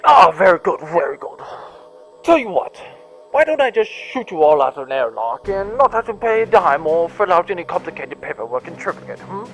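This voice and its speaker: A man's voice: high voice, very animated, a little fast, a bit bright, faint music behind, full character voice, low-quality recording. A man playing a petty, gloating space duke, a theatrical villain in a comic audio drama.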